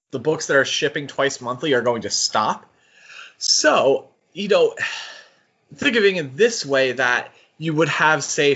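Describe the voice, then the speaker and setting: Guy on a podcast just chatting, kinda rambly, second-guesses himself, average pitch, slightly muddy audio. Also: sharp tone, dull audio. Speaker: podcast co-host. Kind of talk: casual comics discussion.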